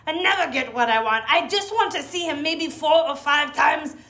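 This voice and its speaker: A woman's voice: high voice, normal speed, bright, forceful tone. An actress playing a chatty, eccentric mother who rambles with dramatic self-pity in an audio drama. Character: chatty, eccentric, guilt-tripping mother held captive. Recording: clear background noise.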